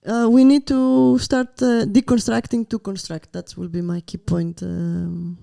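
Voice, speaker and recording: A woman speaking as a guest on a live panel, talking in an animated way with many fillers and restarts. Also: mid-range voice, a little slow, creaky. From Greece.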